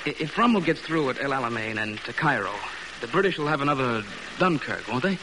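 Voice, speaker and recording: A man's voice: mid-range voice, big pitch swings, fast, natural voice. An actor playing an eager, boyish American merchant seaman in a 1940s radio drama. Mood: chatty, wondering. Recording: noisy vintage radio recording.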